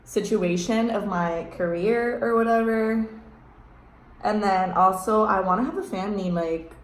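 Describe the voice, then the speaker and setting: A woman's voice: mid-range voice, measured pace. A young woman born in Spain and raised in Miami, chatting casually and joking while she does her makeup for a vlog. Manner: playful, joking, laughs often. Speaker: vlogger. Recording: echoey room with faint noise.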